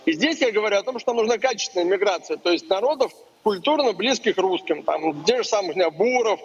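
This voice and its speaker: A man's voice: high voice, animated, fast, highs cut off, a little hiss or hum. A man, a guest commentator on a live remote link, arguing emphatically with rhetorical questions.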